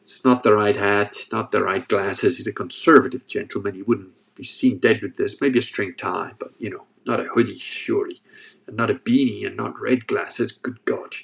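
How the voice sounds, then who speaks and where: A man's voice: on the low side, fairly even intonation, normal speed, vocal fry, fairly bright tone. A man with a sore throat hosting a casual livestream, reading chat and joking as he rambles. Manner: rambling, joking, restarts often.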